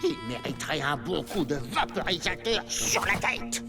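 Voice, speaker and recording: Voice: mid-range voice, very animated, normal speed. Une voix de doublage de dessin animé qui joue un pirate excentrique et combatif, amateur de potions, au ton théâtral. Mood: indignant. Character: feisty, eccentric potion-brewing pirate crewmate. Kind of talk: children's cartoon dubbing. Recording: loud music behind the voice.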